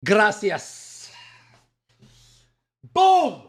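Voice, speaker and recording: A man's voice: high voice, huge pitch swings, very slow. A man from Singapore with a Singaporean English accent, chatting casually and jokingly with his stream viewers and swearing freely. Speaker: live streamer.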